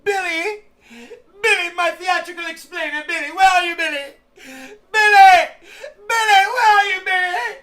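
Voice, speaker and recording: A man's voice: extremely high pitch, measured pace, few pauses, bright, forceful tone. A man hosting a casual livestream, rambling and swearing freely while making sound effects with his mouth. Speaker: channel host.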